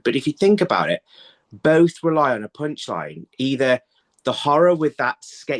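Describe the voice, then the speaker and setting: A man in his thirties giving conversational interview answers over a video call, haltingly, thinking aloud and repeating words. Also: medium pitch. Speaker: writer being interviewed. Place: indoor room, video call. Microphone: phone on a video call.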